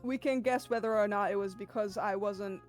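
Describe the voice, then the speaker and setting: A woman's voice: medium pitch, steady tone, moderate pace, soft background music. A young woman guesting on a casual stream, rambling and giggling as she corrects herself. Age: young adult.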